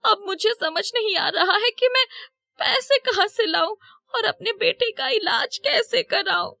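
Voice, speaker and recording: A woman acts out a poor young mother from a cartoon moral tale. Her voice sits very high, shifted up well above an everyday register. She speaks earnestly and emotionally, with a devoted, pleading edge. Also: lively intonation, unhurried, gravelly, sharp tone, no room sound, studio quality, full character voice. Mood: distressed, pleading. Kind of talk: Hindi moral story cartoon dialogue.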